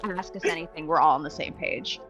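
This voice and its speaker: A woman's voice: sits low, fairly quick, fairly bright tone. A woman casually describing what her character does as a player in a tabletop game. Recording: dull lo-fi mic, faint music.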